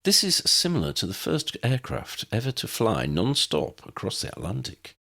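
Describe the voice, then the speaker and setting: A man's voice: medium pitch, huge pitch swings, normal speed, vocal fry, sharp tone, voice pushed up, full character voice. A man voicing a learned, wonderstruck wizard who explains things precisely, in a storybook reading. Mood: explanatory.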